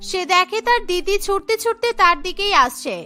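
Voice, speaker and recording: Very high, bright female cartoon narrator. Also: expressive, a little fast. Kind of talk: scripted children's cartoon story narration. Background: music.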